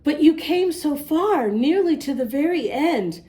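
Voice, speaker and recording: Woman voicing a reassuring storybook mother, fairly high-voiced. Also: lively intonation, a little slow, dark tone. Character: encouraging, comforting mother of a young girl.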